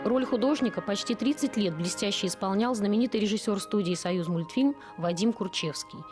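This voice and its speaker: A woman's voice: medium pitch, a little fast. A woman reading scripted narration for a documentary. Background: music.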